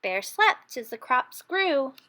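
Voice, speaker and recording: High, animated woman reading a children's story. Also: slow. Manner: expressive, dramatic. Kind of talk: children's picture book read-aloud.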